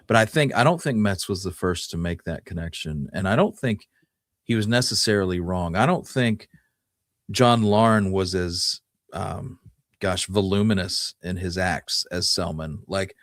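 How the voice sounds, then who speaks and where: A man with a notably low voice co-hosts a casual history talk. He speaks haltingly, working out his thoughts as he goes. The sound is dry, with hardly any room on it. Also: no echo. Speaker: podcast co-host.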